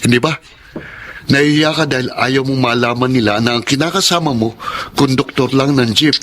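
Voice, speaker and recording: A man's voice: mid-range voice, moderate pace, a bit bright, lightly acted. An actor playing a proud, hardworking young Filipino husband and father in a radio drama, speaking earnestly and insistently. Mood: hurt, insistent.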